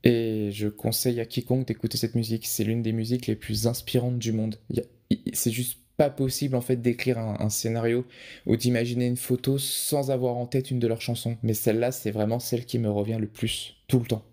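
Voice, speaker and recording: A man's voice: medium pitch, level tone, a little fast, dark tone. A young man answering interview questions in a casual, hesitant way, with frequent restarts and fillers. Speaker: podcast guest, photographer.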